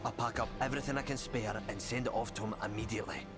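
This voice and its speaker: A man's voice: mid-range voice, fairly even intonation, quick pace, loud music behind the voice, natural voice. A man playing a sympathetic, obliging village alchemist in a fantasy video game. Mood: concerned. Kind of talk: dramatic video game acting.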